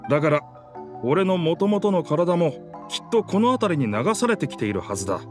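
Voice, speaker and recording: Proud, swaggering talking pirate ship, animated. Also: male voice, a little high, moderate pace, soft background music. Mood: earnest, wistful. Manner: boastful, theatrical, old-fashioned masculine speech. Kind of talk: Japanese video game character dialogue.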